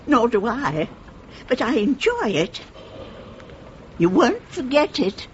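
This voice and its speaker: A woman's voice: on the high side, sing-song, slow, a little hiss or hum. An older actress playing a genteel, spirited and enthusiastic upper-class English lady in her eighties, in a British radio play. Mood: serene, encouraging. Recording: dull, lo-fi old radio recording.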